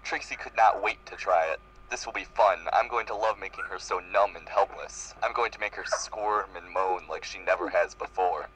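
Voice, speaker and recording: A man's voice: sits low, moderate pace, fairly bright tone, a little hiss or hum, rough recording. A man reading fan fiction aloud in a live group reading. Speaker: reader in a group comedy reading.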